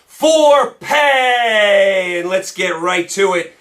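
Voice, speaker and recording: A man hosting a solo sports picks show, hoarse and losing his voice, talking emphatically and repeating points for stress. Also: sits high, lively intonation, very slow, fairly bright tone.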